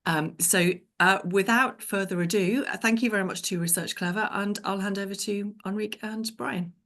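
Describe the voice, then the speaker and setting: A woman opens a web session as moderator, polite and brisk, with a few fillers. Her voice is mid-pitched and grows gravelly and creaky at the ends of phrases. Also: fairly even intonation, a bit bright. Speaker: event moderator. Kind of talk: introducing speakers and a sponsor.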